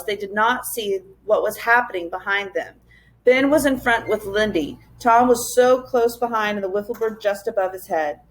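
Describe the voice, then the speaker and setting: A woman narrates a children's adventure story in a somewhat high voice. She reads expressively, in the style of a teacher reading aloud to pupils. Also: rough recording.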